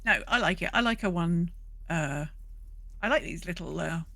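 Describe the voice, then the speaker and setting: A woman's voice: on the low side, lively intonation, normal speed, creaky, sharp tone, no room sound. A woman hosting a casual gaming podcast, talking in a rambling way and often interrupting herself. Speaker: podcast host.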